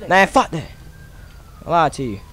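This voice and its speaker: An American man streaming a role-play game, bantering in street slang with loud, repetitive, taunting shouts. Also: a little high, very animated, normal speed. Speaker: streamer role-playing as a gang member in an online game.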